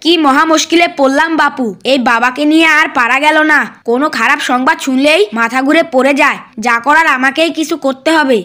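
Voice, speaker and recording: A woman voicing a sharp, suspicious monkey child in a cartoon, outspoken and accusing. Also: high-pitched, fairly quick, few pauses. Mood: alarmed.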